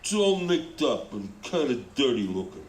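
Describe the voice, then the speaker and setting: Man putting on a high, whiny, suspicious street fence. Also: very animated, moderate pace, natural voice. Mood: sniffy, dismissive. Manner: streetwise, needling, answers in questions. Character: whiny, suspicious, stingy male fence haggling over goods. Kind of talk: radio drama acting. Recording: roomy, with faint background noise.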